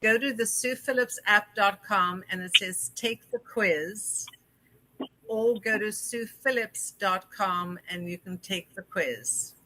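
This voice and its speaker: A woman's voice: mid-range voice, slow pace, pushed and bright, rough recording. A woman from South Africa hosting a call-in interview show, chatty and effusive with lots of fillers. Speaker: host.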